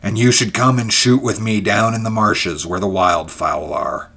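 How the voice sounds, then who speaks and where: A man's voice: fairly low pitch, fairly even intonation, normal speed, gravelly, sharp tone, slightly rough, full character voice. An embittered male feudist from a storybook, read by a man. He speaks with dramatic intensity and an impatient edge. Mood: earnest. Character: George, embittered male feudist turned grudging friend, impatient. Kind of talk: dramatic storybook character reading.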